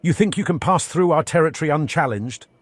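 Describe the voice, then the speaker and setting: A man's voice: fairly high pitch, animated, fairly quick, no room sound. A man voicing a menacing, commanding guard who threatens strangers in scripted fantasy video game dialogue. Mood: stern, threatening.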